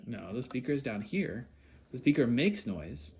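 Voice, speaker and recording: A man's voice: mid-range voice, sing-song, a little slow. A man casually explaining a hands-on task to a child, patiently prompting with questions. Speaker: parent presenting a homemade kids' take-apart video. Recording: clear background noise, handling clicks.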